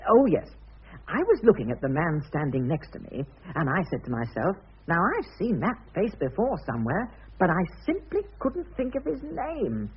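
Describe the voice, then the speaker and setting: High-pitched, stammering, timid bank clerk. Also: male voice, huge pitch swings, normal speed, exaggerated voice. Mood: rambling, earnest. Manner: stammering, long-winded, polite. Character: timid, rambling, apologetic male bank clerk. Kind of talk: comic radio drama acting. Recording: muffled lo-fi radio recording, slightly rough.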